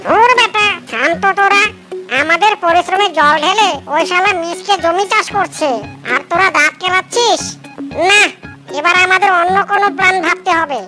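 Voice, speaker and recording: A man voicing a scheming, boastful monkey trickster in a cartoon, bossy and excitable, with a pitched-up voice. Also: moderate pace, loud music behind the voice. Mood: annoyed. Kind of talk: exaggerated cartoon dialogue.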